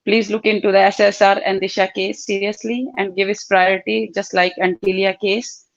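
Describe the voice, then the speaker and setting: A woman calling into a live show over a video call, making an earnest, heartfelt appeal with many pauses and fillers. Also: medium pitch, level tone, creaky, no room sound. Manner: pleading, heartfelt, halting with fillers. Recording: muffled video call audio.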